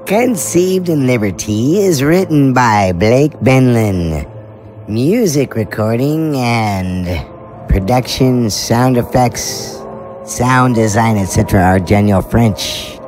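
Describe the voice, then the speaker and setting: A man's voice: mid-range voice, animated, slow, darkish tone. A man announcing cast and credits in a scripted outro for an audio drama. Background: music.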